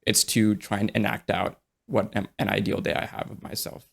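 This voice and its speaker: Young man, low gravelly voice, relaxed rambling podcast chat, lots of fillers. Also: normal speed. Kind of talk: casual podcast conversation.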